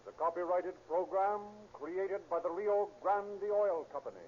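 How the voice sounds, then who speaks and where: A man's voice: high voice, a little slow. A man working as announcer and narrator, reading scripted sponsor copy in a formal, polished 1930s radio style. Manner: formal, polished salesman delivery. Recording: muffled, noisy 1930s radio recording.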